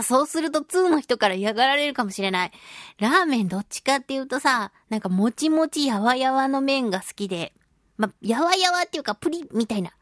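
A woman hosting a radio show, chatting casually and reading listener mail in a playful, expressive way. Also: high-pitched, no room sound, studio quality. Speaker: radio show host, voice actress.

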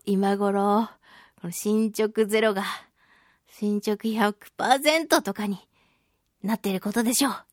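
A woman hosting a solo radio talk show, reading listener mail in a playful, giggly, animated manner. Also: medium pitch, no echo, studio quality. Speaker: radio host, voice actress.

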